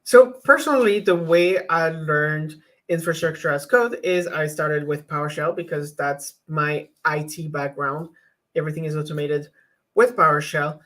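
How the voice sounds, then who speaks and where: A woman whose first language is French talks in a relaxed vlog style. She gives hands-on advice to viewers in a candid way, often stopping and restarting her sentences. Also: deep voice, normal speed. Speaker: YouTuber teaching cloud infrastructure. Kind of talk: casual vlog chat.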